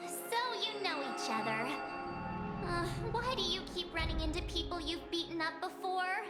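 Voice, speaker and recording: A woman voicing a tiny, childlike, sassy and excitable companion character in a video game, perky and blunt. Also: extremely high pitch, normal speed, over loud music. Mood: curious.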